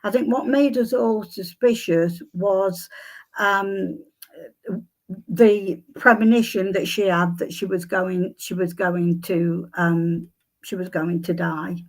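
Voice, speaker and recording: Slow, hesitant older Englishwoman, a bit croaky. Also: fairly low pitch, steady tone, low-quality recording. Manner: hesitant, many ums and restarts. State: slightly croaky voice. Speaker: psychic guest. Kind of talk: conversational interview answers.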